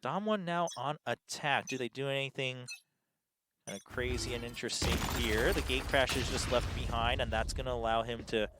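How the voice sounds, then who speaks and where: A man's voice: mid-range voice, normal speed, background noise. A man giving chatty, analytical live commentary on an esports match, laughing often. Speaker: esports caster. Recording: commentary over loud game music.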